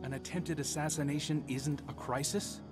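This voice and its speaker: An actor playing an earnest, defiant and protective undercover agent in a video game, intense and sincere, with music behind. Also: male voice, on the high side, steady tone, normal speed, lightly acted. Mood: defiant, worried. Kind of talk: dramatic video game dialogue.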